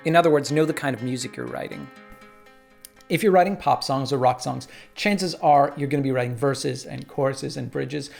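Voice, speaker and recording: A man casually explaining his songwriting workflow in a relaxed tutorial, with frequent "uh" and "like". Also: medium pitch, normal speed, gravelly, soft background music. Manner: relaxed, lots of "uh" and "like".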